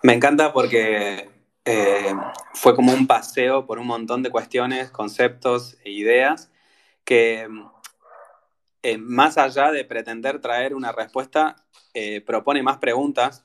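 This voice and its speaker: A man hosting a casual online audio chat and reading out listener greetings. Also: mid-range voice. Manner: friendly, reads out listener greetings. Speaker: host. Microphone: audio space call.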